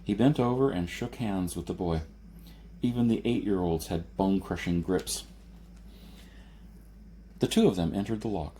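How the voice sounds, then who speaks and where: A man's voice: sits low, normal speed, long pauses, mellow, slight background noise. A man reading a science fiction novel aloud as scripted narration, now and then stumbling and correcting himself. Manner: reads with occasional stumbles and self-corrections. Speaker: audiobook reader.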